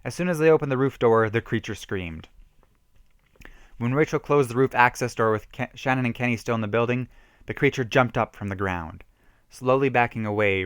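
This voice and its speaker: A man's voice: medium pitch, fairly bright tone, no room sound, studio quality. A man giving an emphatic, theatrical reading of a horror story, stumbling now and then. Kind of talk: dramatic reading of a self-written horror story.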